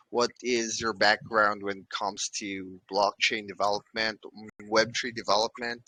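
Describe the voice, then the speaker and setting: Male host, slow and bright, dry close sound, deliberate questions. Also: on the low side, no room sound, low-quality recording. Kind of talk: online interview hosting.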